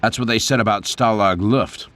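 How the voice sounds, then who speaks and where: An actor playing a cocky American captain in a video game, sardonic and laid-back. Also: male voice, lowish voice, normal speed, no echo, lightly acted. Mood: dry. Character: wisecracking, cocky American captain, prisoner of war. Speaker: captured pilot. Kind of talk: video game cutscene acting. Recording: loud music behind the voice.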